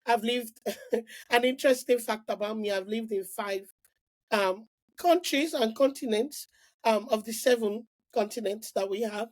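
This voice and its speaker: A middle-aged woman from Nigeria, a podcast guest telling her story in an animated, casual way with frequent restarts and "like" fillers. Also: fairly high pitch, measured pace. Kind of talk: casual interview conversation.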